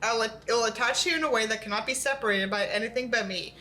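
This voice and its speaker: A woman's voice: fairly high pitch, fast, pushed and bright, soft background music. A woman voicing a brooding, self-important male hero in a fantasy novel reading. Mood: commanding.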